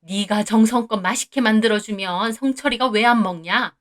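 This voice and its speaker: A woman's voice: mid-range voice, normal speed, natural voice. A woman voicing a furious older mother-in-law who berates and insults her daughter-in-law in a read-aloud story. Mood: scolding. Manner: shouting, berating, coarse insults.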